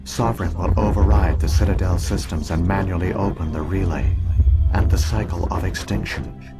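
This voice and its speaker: A man playing an ancient alien virtual intelligence in a video game, speaking in a calm, measured, formal way as he explains. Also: low voice, vocal fry. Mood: grave, explanatory. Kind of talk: scripted game dialogue, expository. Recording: music behind the voice.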